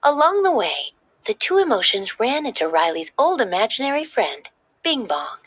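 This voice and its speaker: A woman reading a children's story aloud in expressive, scripted narration. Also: a little high, moderate pace, slightly rough, dry recording.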